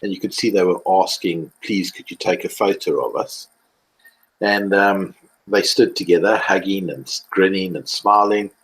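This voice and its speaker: A man's voice: sits low, moderate pace, poor audio. A man with a South African accent, telling stories in a casual podcast chat and laughing often. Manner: animated storyteller, laughs often. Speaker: co-host.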